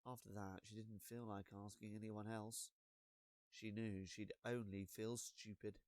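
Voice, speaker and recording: A man reading a children's storybook as an expressive narrator, with deliberate dramatic pauses and drawn-out words. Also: lowish voice, no room sound.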